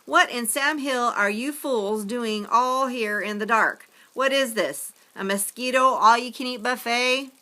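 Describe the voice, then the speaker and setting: A woman voicing a blunt, gravelly older woman neighbor in a storybook reading. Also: fairly high pitch, a little slow, few pauses, pushed and bright, voice pushed up. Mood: scolding.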